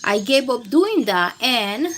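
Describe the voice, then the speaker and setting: A woman's voice: fairly high pitch, big pitch swings, slow, a bit bright. A woman hosts a read-aloud for young children. Her delivery swings up and down expressively and takes its time. There is noticeable background noise with chirping. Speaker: children's storytime host and reader.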